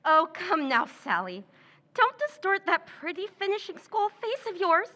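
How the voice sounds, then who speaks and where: Radio-play schemer: woman doing a cutting, sneering sister, high bright voice. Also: big pitch swings, moderate pace, exaggerated voice. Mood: contemptuous. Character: scheming, contemptuous younger sister, adult woman. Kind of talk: old-time radio drama recreation.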